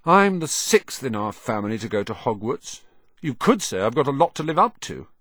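A man's voice: mid-range voice, very animated, normal speed, a bit bright, no echo, studio quality. A man voicing an eager, self-deprecating young boy wizard from a big family, grumbling and exclaiming as audiobook dialogue. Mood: gloomy, grumbling.

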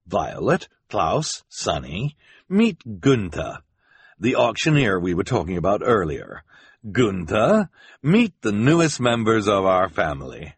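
A man voicing a mild, gentle husband who speaks politely and hesitantly. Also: lowish voice, big pitch swings, a little slow, slightly rough, no echo, heavily acted.

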